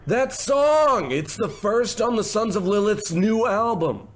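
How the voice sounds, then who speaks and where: A man's voice: high-pitched, lively intonation, takes it slowly. A man playing a pushy, self-important showbiz producer, gushing and condescending, in an old-time radio comedy. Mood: excited, boastful. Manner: bossy, gushing, condescending pet names.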